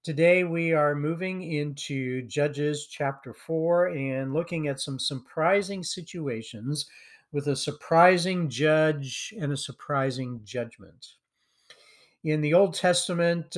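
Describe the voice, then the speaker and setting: A man hosting a sermon podcast, delivering a prepared message in a measured way that trails off at the end of phrases. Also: on the high side. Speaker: podcast host and preacher.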